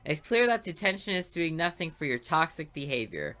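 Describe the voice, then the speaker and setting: A woman's voice: low voice, big pitch swings, brisk, fairly bright tone. A woman voicing a stern, strict female schoolteacher who scolds in a prim, formal way. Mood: scolding.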